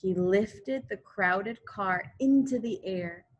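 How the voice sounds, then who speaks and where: A woman reads a picture book to young children in the manner of a schoolteacher. Her pitch sits in the middle range and her pace is slow. She is expressive and deliberate, stepping out to explain the pictures. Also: dull audio. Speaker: elementary school teacher. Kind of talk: children's storybook read-aloud with asides.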